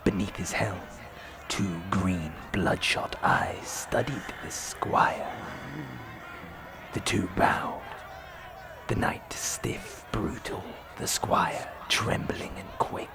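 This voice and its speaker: A man narrating a fantasy drama in a grave, deliberate manner with a breathy, gravelly voice. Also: low voice, over music, crowd noise.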